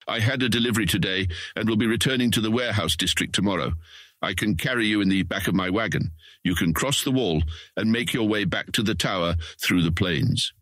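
A man's voice: fairly low pitch, fairly quick, fairly bright tone, no room sound. A man playing a rotund, old-fashioned guildsman in a fantasy audiobook drama, hearty and proper in manner. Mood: businesslike. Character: rotund, jovial, old-fashioned male guildsman and wagon trader.

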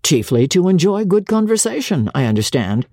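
Woman as an edgy young gentleman, husky voice. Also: on the low side, very animated, moderate pace, no room sound, clean studio sound, voice pushed up. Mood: perverse. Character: young gentleman husband, edgy, perverse, fond of conversation. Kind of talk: dramatic audiobook reading of classic fiction.

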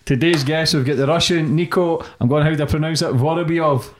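A man's voice: a little high, steady tone, a little fast. A man hosting a casual interview, matey in manner, calling his guest brother and mate. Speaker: podcast host.

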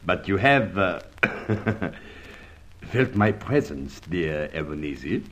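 A suave foreign villain from an old radio drama, low in pitch and swooping in melody. He is elaborately courteous and formal, with menace under every polite phrase. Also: sing-song, takes it slowly, a bit bright, full character voice. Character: suave, mock-courteous foreign villain holding men at gunpoint.

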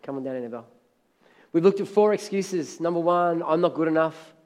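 Hoarse, high-voiced preaching man. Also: fairly quick. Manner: energetic, emphatic preaching with repeated phrases. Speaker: pastor. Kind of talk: sermon.

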